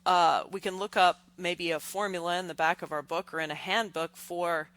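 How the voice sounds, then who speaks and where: A woman explaining as an engineering instructor, step by step, in deliberate, halting phrases with repeated words. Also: fairly low pitch, fairly even intonation, fairly bright tone, no echo.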